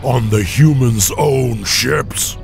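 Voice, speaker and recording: An actor voicing a proud, battle-worn orc chieftain in a fantasy game, with a gruff growl. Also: male voice, mid-range voice, slow pace, music in the background. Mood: proud, relieved.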